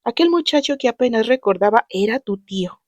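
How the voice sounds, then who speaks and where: Mexican lady reading as a soft young woman writing a letter, calm and measured, dry close mic. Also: a little high, dry recording. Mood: tender.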